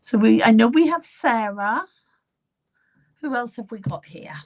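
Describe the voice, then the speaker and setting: A middle-aged woman medium talks to her live audience in an unhurried, gentle way, her voice somewhat high and still a touch croaky. She greets viewers by name and sometimes drops to a soft whisper. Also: animated. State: slightly croaky voice. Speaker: psychic medium. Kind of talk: live-streamed reading session, chatty.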